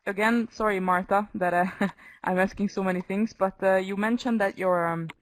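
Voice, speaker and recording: A woman's voice: mid-range voice, fairly even intonation, moderate pace. A woman moderating a webinar panel, politely and a little apologetically asking the panelists questions over a video call.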